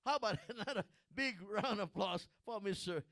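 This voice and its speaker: Joking high-voiced male host. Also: a bit bright, no echo, studio quality. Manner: joking, halting with many restarts. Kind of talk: live stream hosting.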